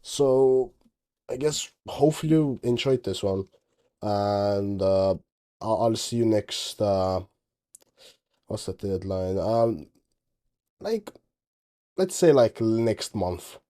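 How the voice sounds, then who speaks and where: A man's voice: lowish voice, slow pace, long pauses, dark tone. A teenage man from Estonia, a native Estonian speaker, chatting casually in English about his game project, rambling and often correcting himself. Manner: rambling, self-correcting, offhand. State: tired. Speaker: game dev vlogger. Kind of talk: casual commentary over screen recording.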